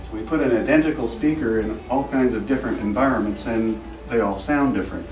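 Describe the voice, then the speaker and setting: A man giving an informal, technical product presentation as a company representative, explaining things in a measured, slightly formal way. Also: mid-range voice. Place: echoey indoor trade show demo room. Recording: roomy, distant mic, music in background.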